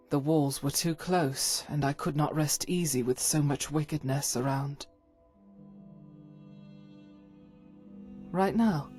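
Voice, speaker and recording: A woman playing a devout, stern holy warrior in a video game, speaking in solemn, formal, measured phrases. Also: low-pitched, mellow. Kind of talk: scripted video game character dialogue.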